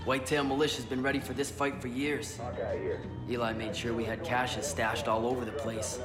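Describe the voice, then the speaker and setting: An actor playing a practical, casual American militia fighter in a game, blunt and conversational. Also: male voice, medium pitch, level tone, normal speed, natural voice. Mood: urgent, casual. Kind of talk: game cutscene dialogue. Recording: echoey hall, loud music behind.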